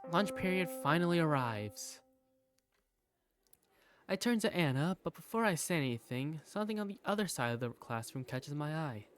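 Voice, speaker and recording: A man voicing a curious, self-doubting high school student who narrates a visual novel fandub in the first person. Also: clean tone. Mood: reflective.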